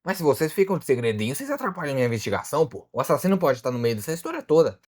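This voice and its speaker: Rapid-fire, exasperated Brazilian detective played by a man. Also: on the high side, big pitch swings, a little mellow. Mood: insistent. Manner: questioning, exclamatory. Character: impatient alligator detective, exasperated and blunt. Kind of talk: animated murder-mystery dialogue.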